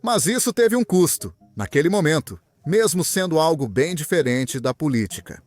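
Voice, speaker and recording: A young man from Venezuela, a guest in an interview, telling his story in an earnest, animated way. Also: a little high, moderate pace, soft background music. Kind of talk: interview answers, personal story.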